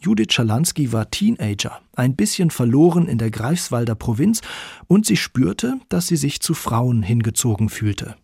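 A man's voice: medium pitch, very animated, moderate pace, gravelly, darkish tone, husky, no echo, clean studio sound. A man reading scripted narration as the narrator of a radio documentary.

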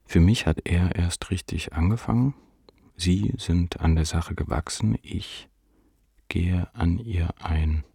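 Gravelly, deep, despairing young man. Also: dark tone, no room sound, studio quality, full character voice. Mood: numb, empty. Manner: bleak, measured, introspective. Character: despairing, ashamed, depressed man of twenty-four, numb narrator. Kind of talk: literary radio drama inner monologue.

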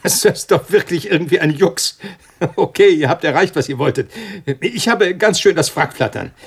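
An actor playing an uneasy, irritable scientist in a German radio thriller, speaking in a hesitant, agitated way. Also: male voice, fairly high pitch, animated, moderate pace, husky. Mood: irritated, uneasy. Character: uneasy, irritable male scientist living alone with budgie.

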